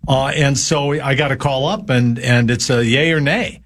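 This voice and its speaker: A man in his seventies from Minnesota, a regular guest chatting on a radio show, telling stories in a halting, folksy way with many pauses. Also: medium pitch, fairly bright tone. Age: 70s. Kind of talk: casual radio chat.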